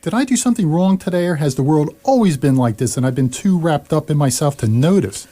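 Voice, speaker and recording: A man's voice: a little high, animated, brisk, dark tone. An actor playing a bewildered, polite, put-upon ordinary man in a radio comedy, often hesitant and exasperated. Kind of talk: radio comedy drama acting.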